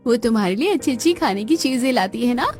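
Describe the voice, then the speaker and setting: Cheerful young daughter-in-law voiced by a woman, reassuring, high voice, faint music. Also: animated, fast talker, a little mellow, natural voice. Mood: soothing.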